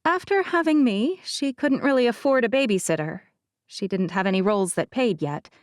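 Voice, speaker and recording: Audiobook heroine, played by a woman: guarded young actress, measured and confiding, slightly high pitch. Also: expressive, no room sound, clean studio sound, natural voice. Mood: reflective. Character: guarded, self-effacing young actress recounting her childhood. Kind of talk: audiobook character dialogue.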